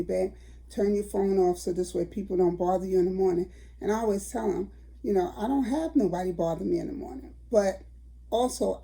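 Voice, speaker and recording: A woman's voice: mid-range voice, dark tone, low-quality recording. An older woman, telling a story to her viewers in a chatty vlog. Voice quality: raspy. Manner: chatty, addresses viewers as y'all. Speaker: vlogger.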